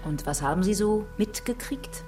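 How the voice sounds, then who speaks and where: An actress as a sceptical female detective, loud music. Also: medium pitch, expressive, normal speed, close to an everyday voice. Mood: probing. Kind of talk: naturalistic German radio crime drama acting.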